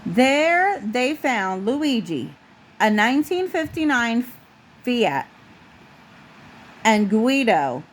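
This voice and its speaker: A woman with a fairly high voice narrates a children's picture book. She reads it aloud in the style of a parent at bedtime. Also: sing-song, extremely slow, pauses often. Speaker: parent reading a bedtime story. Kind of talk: storybook read-aloud narration.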